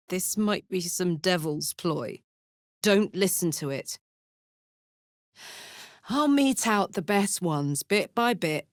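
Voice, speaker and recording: A woman voicing a brash, warm-hearted tiefling warrior in a video game, with blunt, earthy soldierly talk. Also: medium pitch, no echo, studio quality, close to an everyday voice. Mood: guarded. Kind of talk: video game character voice acting.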